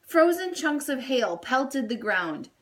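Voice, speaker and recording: A woman reads aloud from a children's storybook. Her voice is on the high side and very animated, and she takes her time over each phrase. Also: takes it slowly. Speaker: storybook reader.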